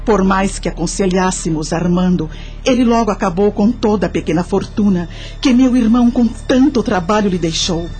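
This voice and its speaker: An actress playing a grateful, remorseful widowed mother, narrating her life in a confiding, measured way for a radio drama. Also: medium pitch, a little mellow, soft background music, close to an everyday voice. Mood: sorrowful, confessional.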